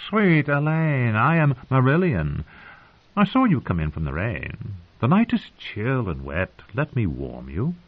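A man's voice: mid-range voice, wildly swooping pitch, a little slow, breathy edge, phone-quality sound, voice pushed up, heavily acted. A man voicing a lecherous, tipsy young singer who courts in florid, wheedling phrases in an audiobook story. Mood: oily, amorous.

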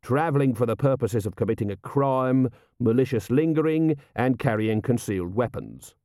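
A man voicing a gruff, sardonic watch commander with a blunt, dry manner in a storybook reading. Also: medium pitch, moderate pace, mellow, no room sound, natural voice. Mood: manic, relishing. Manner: blunt, dry, snarling when pressed.

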